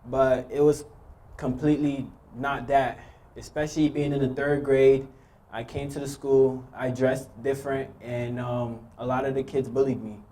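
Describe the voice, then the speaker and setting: A young man from Haiti nervously telling his own story to an audience, with many pauses and ums. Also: mid-range voice, little pitch movement, mellow. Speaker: youth speaker sharing his story. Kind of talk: personal testimony speech.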